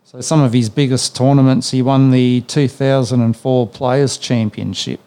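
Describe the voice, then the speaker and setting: An Australian man hosting a laid-back sports podcast. He talks in a rambling, conversational way, peppered with "yeah" and "you know", at an ordinary mid pitch. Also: level tone, normal speed, dark tone. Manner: rambling, with many fillers and "yeah"s. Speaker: podcast host. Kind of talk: casual sports chat.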